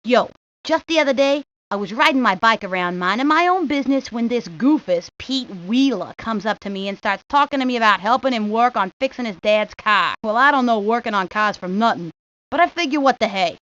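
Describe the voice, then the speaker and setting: A woman's voice: fairly high pitch, expressive, a little fast, a bit bright. A woman voicing a cocky, streetwise neighborhood boy, talking in brash slang for a cartoon video game. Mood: grumbling, cocky. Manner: brash, slangy wise-guy talk. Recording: dull lo-fi 1990s game audio.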